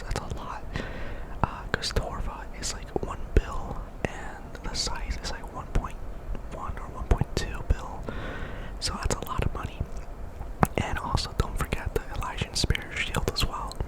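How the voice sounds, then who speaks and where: Young male ASMR gaming host. Fully whispered, breathy delivery, casual and rambling, with repeated phrases. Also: rough recording.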